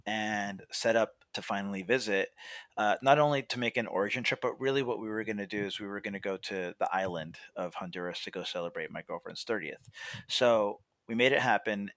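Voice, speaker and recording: A man's voice: fairly low pitch, brisk, fairly bright tone, no room sound. A young American man talking in a chatty, casual storytelling way as a podcast guest, peppering his talk with "like" and "you know". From Monrovia, California. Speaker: podcast guest, coffee roaster.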